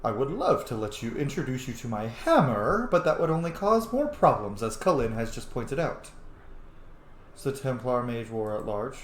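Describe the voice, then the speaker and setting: Animated, swearing young man playing a game. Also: medium pitch, normal speed. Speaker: roleplay game streamer. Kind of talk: casual game commentary. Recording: roomy room with faint noise.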